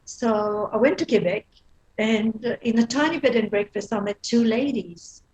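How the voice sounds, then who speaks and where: A woman in her fifties from Belgium with a Belgian accent, a guest in a casual interview who talks in a lively way, laughs often and restarts her sentences. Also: a little high, moderate pace, low-quality recording.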